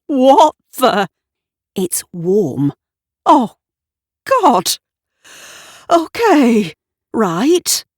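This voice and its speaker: A woman's voice: a little high, very animated, extremely slow, long pauses, no echo, studio quality, pitched above the performer's own voice, heavily acted. A woman voicing a flustered, easily startled man in a storybook, muttering and exclaiming to himself. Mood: startled, alarmed. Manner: muttering, exclaiming, talking to himself and his dog.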